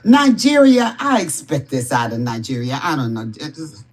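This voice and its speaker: A woman's voice: low voice, big pitch swings, normal speed. Emphatic woman warning on a live stream. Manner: emphatic, forceful, repeats warnings. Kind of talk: impassioned political commentary.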